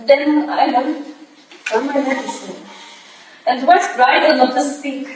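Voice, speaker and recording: A woman's voice: high voice, a little slow. A woman playing a proud, desperate young foreign ballerina in a staged reading, urgent and defensive. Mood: anxious. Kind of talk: staged reading of a period drama. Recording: distant, very echoey room, faint noise.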